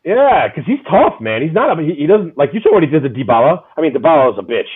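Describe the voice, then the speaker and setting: A man hosting a casual fan chat, loud and rambling, often repeating his words. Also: a little high, big pitch swings, fast, breathy edge, phone-quality sound. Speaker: podcast host.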